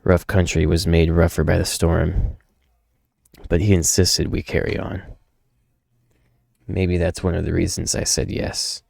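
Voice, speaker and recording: A gruff, haunted loner tells a grim story in the first person, read by a man. The voice is deep and dark with a creaky edge, brooding and world-weary. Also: little pitch movement, a little slow, long pauses, dry recording, studio quality, full character voice. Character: gruff, haunted loner brawler narrating his grim past. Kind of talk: dramatic first-person comic narration.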